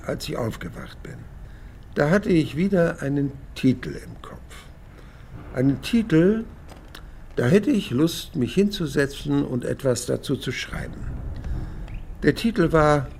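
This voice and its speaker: A man's voice: mid-range voice, sing-song, slow pace, creaky, a little mellow, a little hiss or hum, heavily acted. An actor playing a hundred-year-old male radio playwright who rambles through his memories, wistful and proud, in a reflective radio drama monologue. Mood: eager. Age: 100. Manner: rambling, reflective, emphatic swings between murmur and outburst.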